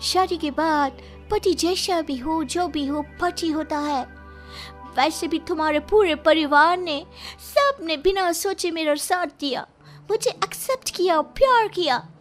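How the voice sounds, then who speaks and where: A woman's voice: extremely high pitch, unhurried, faint music behind. A woman voicing a loyal, defiant young Chinese wife in a cartoon story, pleading and melodramatic. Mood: earnest, pleading.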